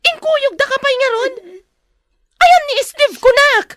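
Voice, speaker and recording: A woman's voice: very high voice, a little slow, a bit bright, no echo. An actress playing a threatening, violent woman who barks commands at her captive in a radio drama. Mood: angry. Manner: commanding, menacing.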